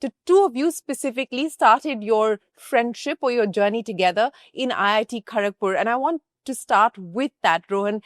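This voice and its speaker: An articulate woman with an Indian English accent and a fairly high voice. She presents like a polished broadcast host, stressing key phrases as she interviews in a dry, close-sounding space. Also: normal speed, few pauses, no echo.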